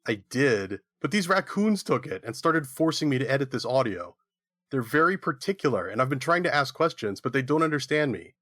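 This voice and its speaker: A man's voice: mid-range voice, big pitch swings, brisk, fairly bright tone, dry recording. A man playing a harried half-banana gnome audio editor who makes puns in a comedy audio drama. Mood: hapless, punning.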